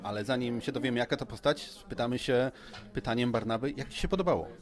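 A man's voice: medium pitch, fast, some chatter behind. A man co-hosting a podcast, doing a casual, joking interview in a playful, teasing manner.

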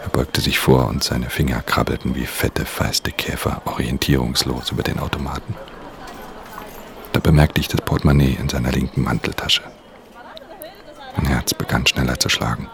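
An actor playing a weary, watchful pickpocket who narrates a radio crime drama in a measured, introspective way. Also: male voice, rumbling low, fairly even intonation, gravelly, a bit bright, a little hiss or hum, full character voice. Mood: brooding, watchful. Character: male professional pickpocket, haunted, watchful, weary loner.

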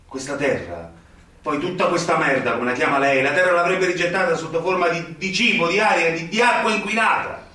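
An actor playing an idealistic young magistrate in a radio drama, questioning insistently and rhetorically, with indignation. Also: male voice, on the high side, pushed and bright. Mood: indignant, pressing. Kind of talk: theatrical radio drama acting. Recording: very echoey room, distant from microphone.

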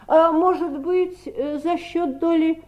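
A woman's voice: extremely high pitch, steady tone, slow, dull audio, a loud crowd. A woman acting a shrewd, grasping sister in a Soviet radio drama, coolly insistent and playing innocent. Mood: feigned innocence. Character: shrewd, grasping Southern sister bargaining with her brothers.

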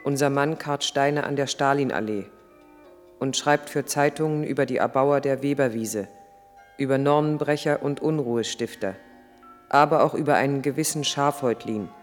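An actor playing an earnest chronicler, narrating in a deliberate, literary 1980s German radio play style. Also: male voice, medium pitch, natural voice. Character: earnest male chronicler of a man's troubled life. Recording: long reverb, music in the mix.

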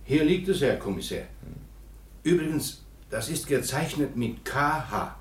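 A man's voice: medium pitch, animated, unhurried, roomy sound, slight background noise, natural voice. An actor playing a dutiful, deferential man assisting the police in a period radio drama, matter-of-fact in manner. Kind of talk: German radio drama acting.

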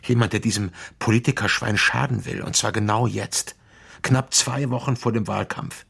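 An actor playing a hard-nosed middle-aged politician in a radio drama, stern, lecturing and condescending in a naturalistic way. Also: male voice, fairly low pitch, steady tone, moderate pace, creaky, a bit bright, breathy edge, heavily acted. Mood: cold, threatening.

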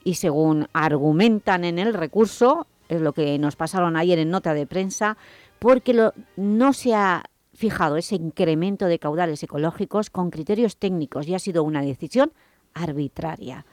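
A woman hosting a radio debate, briskly asking her panelists questions. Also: fairly low pitch, darkish tone, no echo. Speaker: radio host.

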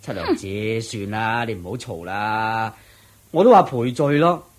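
A man's voice: fairly low pitch, animated, unhurried. A man playing an exasperated, henpecked merchant in a radio drama, pleading and placating in Hong Kong Cantonese. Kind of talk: theatrical radio drama acting.

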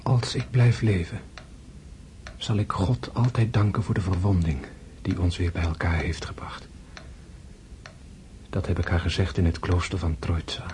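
An actor playing a dying wounded man in a radio drama, calm and detached, speaking in a hushed, halting, meditative way. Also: sits low, a little hiss or hum. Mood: serene, musing. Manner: slow, hushed, pensive, halting.

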